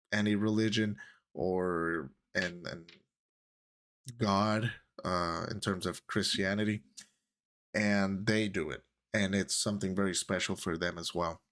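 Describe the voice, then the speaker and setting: Man, 30s, Puerto Rican accent, low-ish voice; relaxed, rambling to-camera vlog talk. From Puerto Rico. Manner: chatty, rambling, switches into Spanish phrases. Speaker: YouTube creator.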